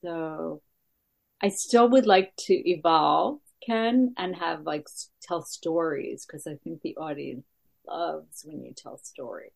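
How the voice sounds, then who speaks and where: A middle-aged woman stand-up comedian with a gravelly voice, talking in a halting, casual interview with many fillers. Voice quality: gravelly, deeper voice.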